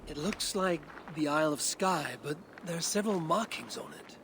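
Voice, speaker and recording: A woman playing a gruff, guarded Viking clan leader in a video game, low-voiced, terse and dry. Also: moderate pace, noisy background. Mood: terse, focused. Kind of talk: dramatic video game dialogue.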